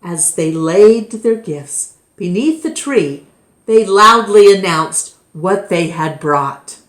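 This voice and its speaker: A woman reading a children's story aloud expressively, with deliberate, dramatic phrasing. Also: medium pitch, animated, fairly bright tone. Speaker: storybook reader, storytime host. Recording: echoey room.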